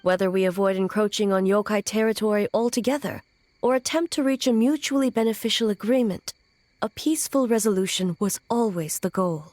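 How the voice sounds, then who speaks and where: A woman playing a composed, diplomatic official in a video game, explaining in a formal, measured way. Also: mid-range voice, a little mellow, no echo, close to an everyday voice. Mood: calm, firm.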